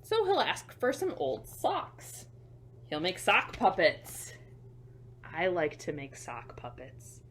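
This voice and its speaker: A woman reading a picture book to children as a storyteller, expressive, with chatty, simple asides to young listeners. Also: lowish voice, slow, a little hiss or hum.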